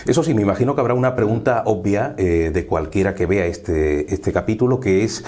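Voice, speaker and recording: A man's voice: lowish voice, fairly quick, a little mellow. A man interviewing a guest in a conversational style.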